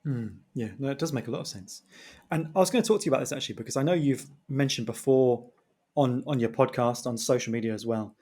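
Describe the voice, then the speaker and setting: Guy hosting a podcast, normal pitched voice, chatty and rambly, casual long questions. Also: fast talker, a little mellow. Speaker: podcast host, writer. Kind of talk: casual interview conversation.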